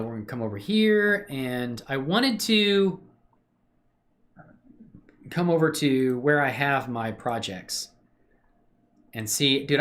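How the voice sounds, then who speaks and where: Animated, low-voiced woman streamer. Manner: friendly, exclamatory, chatting to viewers. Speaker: live streamer hosting own channel. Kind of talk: casual livestream chat. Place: indoors at a computer.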